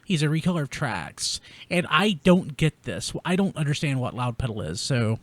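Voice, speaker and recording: A man hosting a casual panel chat, informal and quick to banter. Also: fairly high pitch, very animated, dry recording. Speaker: podcast host. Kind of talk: casual chat about collectible toys.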